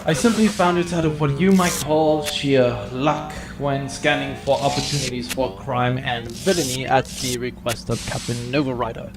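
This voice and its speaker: A man's voice: medium pitch, steady tone, moderate pace, few pauses, lightly acted. A formal British computer butler in a space radio play, voiced by a man. He speaks with stiff precision and unflappable deference. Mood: dry, formal.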